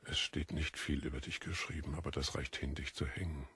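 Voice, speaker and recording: Menacing Roman governor, husky, dry close sound, scornful, weary, audio drama. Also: male voice, a bass voice, fairly even intonation, a little fast, pushed and bright, dry recording, full character voice. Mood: cold, threatening. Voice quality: gravelly, husky. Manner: curt, scornful, commanding.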